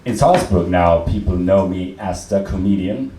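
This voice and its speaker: A young man from Austria with an Austrian accent, German his first language, performing a deadpan, self-mocking stand-up set with many fillers and restarts. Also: low-pitched, normal speed, very noisy. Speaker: stand-up comedian. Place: comedy club stage. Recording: roomy club sound from a distant mic, loud crowd.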